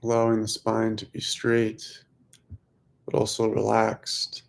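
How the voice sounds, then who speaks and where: Slow, gravelly man guiding meditation. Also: lowish voice, monotone. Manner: soothing, unhurried, long pauses between short phrases. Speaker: meditation guide, host of a weekly group. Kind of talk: guided meditation.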